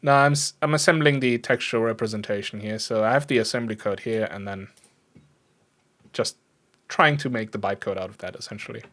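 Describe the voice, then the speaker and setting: A man's voice: lowish voice, a little fast, a bit bright. A man from Germany with a German accent, chatting casually and in an easygoing, self-deprecating way as he codes on a livestream. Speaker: live coding streamer. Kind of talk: casual livestream chat while programming. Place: indoors at a computer desk.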